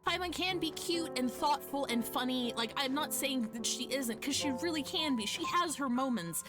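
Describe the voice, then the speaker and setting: A woman's voice: sits high, lively intonation, normal speed. A woman livestreaming a game, giving casual, rambling, emphatic commentary and often repeating her phrases. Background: game music.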